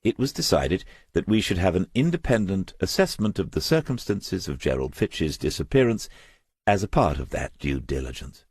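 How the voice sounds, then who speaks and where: A man's voice: sits low, big pitch swings, normal speed, a little mellow, slightly rough, dry recording, studio quality, pitched above the performer's own voice, heavily acted. A man voicing a young corporate insurance woman in an audiobook, with a polite, formal, matter-of-fact manner.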